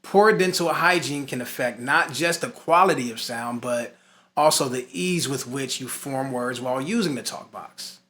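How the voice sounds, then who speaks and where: Bright-voiced man teaching a tutorial. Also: medium pitch, moderate pace. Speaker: instructor and host of a talk box tutorial. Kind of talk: informal tutorial, partly scripted explanation.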